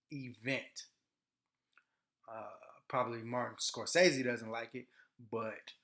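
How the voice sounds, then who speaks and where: A man hosting a casual, unscripted movie review, speaking in halting, deliberate phrases with pauses. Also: medium pitch, fairly bright tone.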